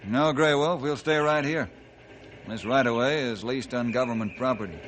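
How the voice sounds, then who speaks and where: A man playing a steady, authoritative forest ranger in a 1950s radio adventure, plain-spoken and earnest as he explains things. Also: medium pitch, moderate pace, natural voice. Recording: noisy old 1950s radio recording.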